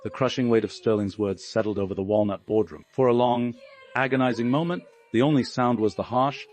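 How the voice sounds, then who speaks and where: A man with a fairly low voice narrates a scripted story. His delivery is that of a dramatic audiobook reader. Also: darkish tone, no echo.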